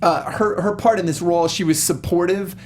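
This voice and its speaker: A man's voice: high voice, fairly quick, a little mellow, slight background noise. A man co-hosting a review show, giving opinions on films in a casual, emphatic way. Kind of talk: casual, opinionated film discussion.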